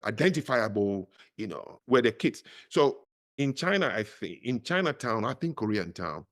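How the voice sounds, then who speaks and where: A middle-aged man talks as a podcast guest. His delivery is lively and swings widely in pitch. He leans on words for emphasis, the way a storyteller does. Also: normal speed. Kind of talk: conversational interview.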